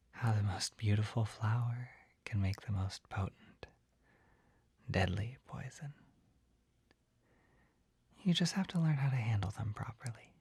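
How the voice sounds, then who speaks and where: An actor voicing a shy, flustered, gentle poison-selling apothecary in a soft, breathy, hesitant whisper for an ASMR roleplay. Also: medium pitch, no room sound, exaggerated voice. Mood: soft, intrigued. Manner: hesitant, halting, apologetic, easily embarrassed.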